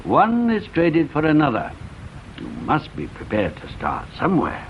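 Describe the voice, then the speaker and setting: A man's voice: fairly high pitch, huge pitch swings, measured pace, exaggerated voice. A man playing a wry, wordy English provincial shopkeeper in an old-time radio drama, with an arch and elaborately formal manner. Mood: arch, teasing. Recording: muffled, faintly noisy old radio recording.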